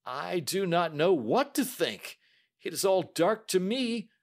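A man reading aloud and voicing a distraught middle-aged male banker who speaks slowly, pleading in anguish. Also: sits high, sing-song, a little mellow, voice pushed up, exaggerated voice.

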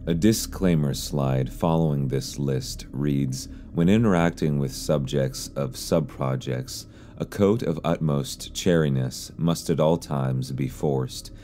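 A man reading scripted explainer narration in a measured, serious way, as a video narrator. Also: extremely low pitch, warm tone, soft background music. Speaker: YouTube narrator.

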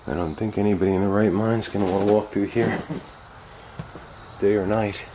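A middle-aged man with a gravelly voice, talking casually and a little uneasily to viewers in a rambling handheld vlog. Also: on the low side, steady tone, normal speed, darkish tone, low-quality recording. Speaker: urban exploration vlogger. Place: abandoned derelict house and surrounding woods. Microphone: handheld camera at arm's length. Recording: faint outdoor noise with chirping insects.

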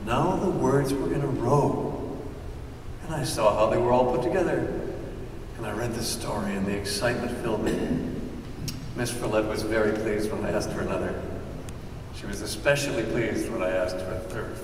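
An older man, an author, giving a deliberate, declamatory reading of prepared text. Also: lowish voice. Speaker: author presenting his book. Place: indoor hall, on stage. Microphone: distant audience camera. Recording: echoey hall from far off, faint noise.

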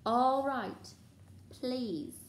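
A woman's voice: sits high, drawn-out words, voice pushed up. A woman voicing an impatient, irritable male school caretaker in a storybook, curt and demanding. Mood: angry. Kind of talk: storybook character voice in a read-aloud. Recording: roomy echo, faint background noise.

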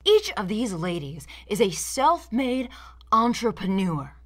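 A woman's voice: mid-range voice, big pitch swings, takes it slowly. A woman in her thirties hosting satirical video commentary in an arch, theatrical, mock-sincere way. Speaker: video essay host. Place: indoor studio set with plain backdrop.

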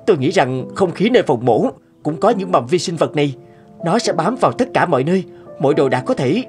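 A man voicing an earnest, thoughtful young doctor in a dubbed educational cartoon, speaking expressively and deliberately. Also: fairly high pitch, very animated. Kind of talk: cartoon dubbing, educational storytelling. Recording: music bed under dubbed dialogue. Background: background music.

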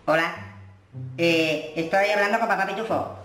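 A man's voice: moderate pace, fairly bright tone. A man voicing a small, insistent cartoon elder, as a prank caller. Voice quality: thin, squeaky from helio. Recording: echoey room, music behind.

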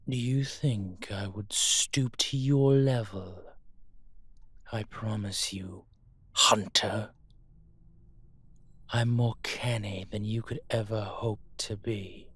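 A man voicing a proud, disdainful old vampire in an audio roleplay, speaking breathily in formal, measured phrases. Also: lowish voice, fairly bright tone, slightly rough, heavily acted.

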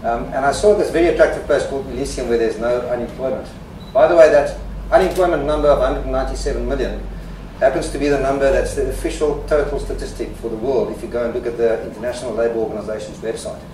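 A man gives a presentation at a fast clip, tossing in wry jokes between his points. He is picked up from a distance in an echoey hall, with clear background noise. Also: medium pitch, dark tone. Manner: wry, joking asides with fillers. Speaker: conference speaker. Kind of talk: presentation talk. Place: conference hall.

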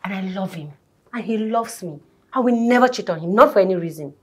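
A woman's voice: medium pitch, moderate pace, darkish tone, slightly rough, close to an everyday voice. A woman acting an upset, firm young wife in a film drama, insistent and repeating herself. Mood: firm, defiant.

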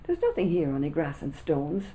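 A woman's voice: sits low, huge pitch swings, moderate pace, dark tone, a little hiss or hum. A woman voicing a cheeky, mocking schoolboy in a storybook reading, with a teasing manner and a voice raised a little above her own. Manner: scoffing, teasing.

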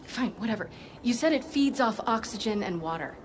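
A woman's voice: on the high side, animated, a little fast, noisy background, natural voice. A woman playing a skeptical young soldier in a cinematic video game, with a dry, challenging delivery. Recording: game music behind.

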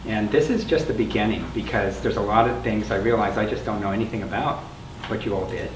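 An older man speaks in a low voice as he introduces an oral history panel. He is conversational and explanatory. The mic is distant and there is clear noise behind him in the room. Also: fast, echoey room. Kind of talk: informal spoken introduction to a panel. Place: meeting room with a small audience.

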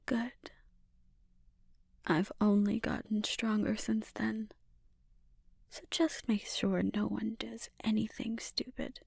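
A woman playing a menacing, seductive sorceress and obsessive mother in a breathy, often whispered ASMR roleplay, speaking deliberately and with quiet threat. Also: medium pitch, flat delivery, dry recording, clean studio sound, exaggerated voice. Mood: coolly threatening.

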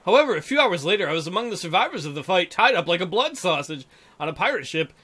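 A man's voice: high-pitched, very animated, fast talker, pushed and bright, husky. A man hosting a game playthrough, reading the story aloud and adding goofy, crude joking asides. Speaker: gameplay host reading a visual novel aloud.

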